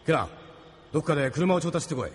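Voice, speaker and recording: A man playing a gruff, decisive resistance captain with a brusque, commanding manner in a Japanese audio drama. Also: a little high, lively intonation, fast, slight background noise, close to an everyday voice. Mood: stern, brusque.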